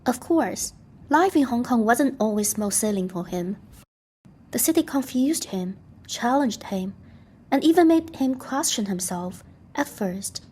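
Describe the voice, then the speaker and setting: A woman's voice: a little high, animated, a little slow, darkish tone, breathy edge. A woman narrating a documentary in a scripted voice-over with a playful, teasing tone. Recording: music underneath.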